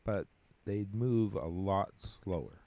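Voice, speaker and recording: A man's voice: sits low, slow, mellow. A man playing a grandiose, reverent spirit companion to a god in a scripted audio drama, with a theatrical, declamatory delivery. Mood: explaining.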